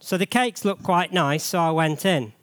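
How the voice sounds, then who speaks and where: A man's voice: fairly high pitch, normal speed, a bit bright, smooth, no echo. Man with a cold, preaching. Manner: chatty, jokey, frequent rhetorical questions. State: losing his voice from a cold. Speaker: church treasurer giving a sermon. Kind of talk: informal church sermon.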